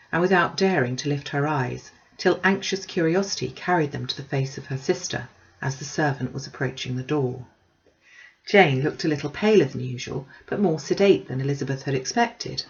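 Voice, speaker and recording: A woman's voice: low voice, dull audio. A woman narrating a classic novel as an audiobook reader, in scripted storytelling style.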